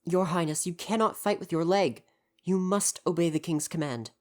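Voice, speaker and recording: A woman's voice: lowish voice, moderate pace, a little mellow, natural voice. A woman playing a devoted royal butler in an ASMR roleplay, speaking in a formal, deferential and precise way with parental care. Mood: alarmed, urgent.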